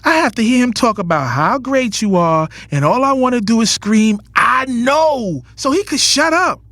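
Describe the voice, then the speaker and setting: A man's voice: very high voice, lively intonation, normal speed, fairly bright tone, no room sound, heavily acted. A man playing a guarded, lovesick young businessman in an audio drama, earnest and emotionally intense. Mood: pained, passionate. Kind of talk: audio drama acting with first-person narration. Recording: studio voice over music bed. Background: music.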